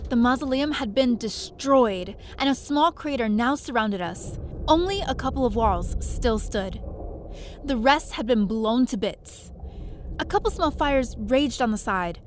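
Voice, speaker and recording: Audio drama lead: quick-witted young-sounding heroine played by a woman, high and animated, narrating and acting dramatically. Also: background noise. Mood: dramatic narration. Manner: expressive, dramatic. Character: plucky, quick-thinking woman space adventurer telling her story.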